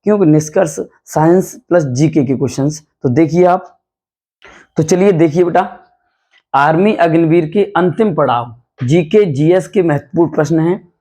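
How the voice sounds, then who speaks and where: Hindi coaching teacher guy, voice kinda hoarse and high, repeats every question and answer. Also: moderate pace, dark tone. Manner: calls listeners "beta", repeats questions and answers. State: hoarse from night classes. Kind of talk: quiz-style exam revision lesson.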